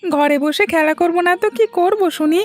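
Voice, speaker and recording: Squeaky, petulant little boy. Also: moderate pace, dry recording, soft background music. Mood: sulky, stubborn. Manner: petulant, pleading. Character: whiny, stubborn little schoolboy. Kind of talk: Bengali cartoon story acting.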